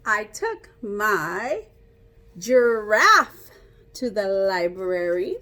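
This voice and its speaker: A woman reading a picture book aloud like a teacher, pausing to explain and ask young children questions. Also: mid-range voice, big pitch swings, bright, forceful tone. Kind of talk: interactive children's read-aloud.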